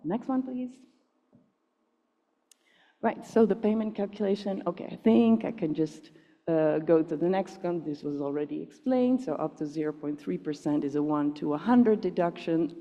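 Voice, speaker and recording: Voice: mid-range voice, lively intonation, measured pace, dark tone. A presenter walks through slides at a conference in a casual, hesitant way. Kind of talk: presentation walkthrough. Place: large conference hall. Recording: muffled conference hall reverb.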